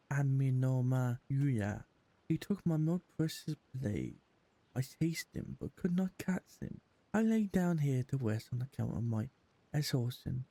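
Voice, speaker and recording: A man casually commenting as he plays a game and reading on-screen text aloud in an unhurried, halting way. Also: mid-range voice, warm tone. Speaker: gaming streamer.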